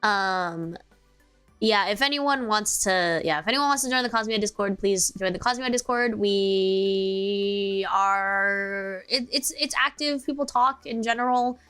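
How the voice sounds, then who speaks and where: A woman's voice: medium pitch, unhurried, pushed and bright, faint music behind. A young American woman streaming, chatting casually and sleepily, rambling with many fillers and restarts. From United States.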